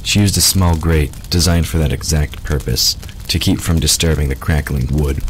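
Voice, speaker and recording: A man's voice: extremely low pitch, normal speed, a little hiss or hum. A man reading scripted fiction as the narrator of an audio drama.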